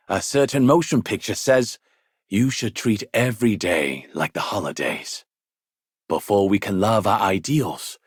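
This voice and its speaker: Game casting: genteel gentleman, lowish husky voice, swooping delivery, courtly and florid. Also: dry recording, studio quality. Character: genteel, theatrical film-loving gentleman. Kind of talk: video game voice line.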